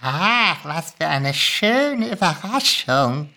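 A woman's voice: low-pitched, very animated, takes it slowly, vocal fry, pushed and bright, exaggerated voice. A woman voicing a cocky, laid-back little male goblin in a story reading, with a casual, drawling, colloquial manner. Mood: lazily amused.

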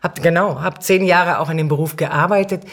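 A woman's voice: fairly low pitch, brisk. A middle-aged woman answering as an interview guest, explaining things in an informal, conversational way. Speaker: podcast guest, city councillor and cultural manager.

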